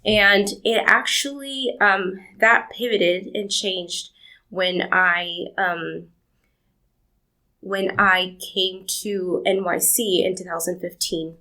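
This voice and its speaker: Bright, gravelly woman telling her story. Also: medium pitch, steady tone, slow, poor audio. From Argentina. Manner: warm, rambling storytelling with laughs. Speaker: podcast guest. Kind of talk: interview.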